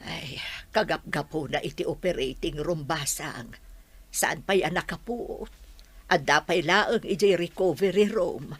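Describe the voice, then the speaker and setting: A woman performs an older village auntie in a radio play. She is plain-spoken and caring, with a rather low, husky voice. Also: measured pace, exaggerated voice. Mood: worried, matter-of-fact. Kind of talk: radio drama dialogue.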